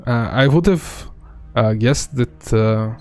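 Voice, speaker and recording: Relaxed Serbian-accented male game streamer. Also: medium pitch, slow pace, soft background music. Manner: relaxed, rambling, many fillers. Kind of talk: live gameplay commentary.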